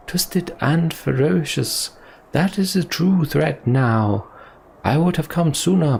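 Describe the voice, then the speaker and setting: A man's voice: on the high side, gravelly, darkish tone, a little hiss or hum, voice pushed up. A man voicing a stern, urgent female mage in command, reading fantasy game dialogue. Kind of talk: voiced video game dialogue.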